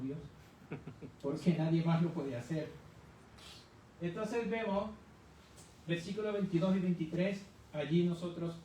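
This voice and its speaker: A man with a high, mellow voice teaches scripture in a conversational, didactic way, posing questions as he explains. He is recorded at a distance in a heavily reverberant room. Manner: didactic, poses rhetorical questions to listeners. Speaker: church Bible teacher. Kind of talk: conversational expository Bible teaching. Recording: very echoey room, distant mic, faint noise.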